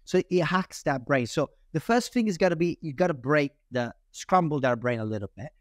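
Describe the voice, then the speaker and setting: A man with an Italian accent, a sales trainer talking casually as a podcast guest, animated and acting out the lines he uses on calls. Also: on the high side, brisk, dry recording, clean studio sound. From Italy. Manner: animated, many restarts, acts out call lines.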